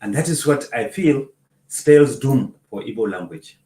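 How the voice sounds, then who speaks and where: A man, a film producer and festival organizer, explaining his points in a measured way with hesitant pauses. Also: mid-range voice, expressive, mellow, reverberant. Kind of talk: interview answers.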